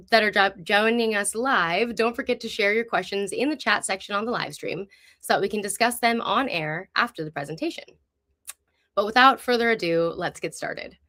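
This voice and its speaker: A woman's voice: mid-range voice, pushed and bright. A woman speaking as host of a live online show, presenting and interviewing in an upbeat, enthusiastic way.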